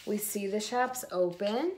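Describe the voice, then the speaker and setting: A middle-aged woman reading a children's picture book aloud in a deliberate storytelling way, recorded on a phone at home. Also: mid-range voice, a little mellow, a little hiss or hum. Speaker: read-aloud host. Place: indoors in a room at home.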